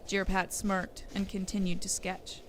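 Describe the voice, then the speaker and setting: A woman's voice: mid-range voice, level tone, normal speed. A woman reading scripted storybook narration for an audio drama, on a noisy recording.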